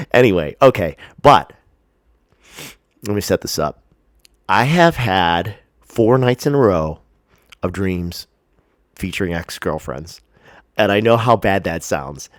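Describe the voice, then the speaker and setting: Very deep, stuffy-nosed man vlogging. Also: animated, slow, pauses often, dry recording, clean studio sound. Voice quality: stuffy, congested nose. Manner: rambling, hesitant, self-deprecating. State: congested, sniffling. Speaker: vlog host. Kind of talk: casual personal chat to camera. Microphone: close to the face.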